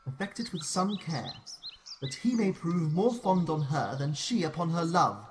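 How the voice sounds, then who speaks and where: A man playing a commanding, scheming fairy king, speaking classical verse in a deliberate, incantatory, theatrical way. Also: a little high, lively intonation, slight background noise, close to an everyday voice. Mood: instructing. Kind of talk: classical Shakespearean verse acting.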